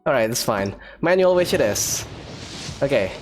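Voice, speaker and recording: A man's voice: mid-range voice, big pitch swings, normal speed, soft background music. A man giving casual commentary as the host of a gameplay video.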